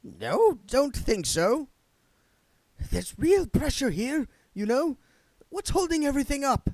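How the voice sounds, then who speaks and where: A man's voice: extremely high pitch, very animated, slow pace, darkish tone, no echo, voice pushed up, full character voice. A man voicing a reedy, petulant male spirit guide who is scrappy and quarrelsome, in a storybook reading. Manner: squabbling, combative.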